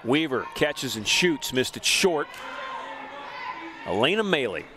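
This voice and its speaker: A man giving brisk, informative play-by-play commentary on a college basketball game. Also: mid-range voice, sing-song, a crowd in the background. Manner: brisk, informative, names players quickly. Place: college gym broadcast booth.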